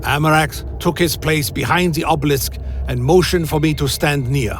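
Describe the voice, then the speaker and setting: A man playing a cursed servant of a lich, narrating a dark fantasy radio play in solemn, theatrical first person. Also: a little high, moderate pace, a little hiss or hum, lightly acted. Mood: brooding. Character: Alaric the Damned, cursed blood-bound male servant of a lich.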